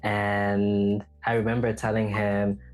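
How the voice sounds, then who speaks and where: A man's voice: lowish voice, monotone, slow pace, faint music behind. A man from Haiti hosting a podcast, talking casually and reflectively about personal experience. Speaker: podcast host.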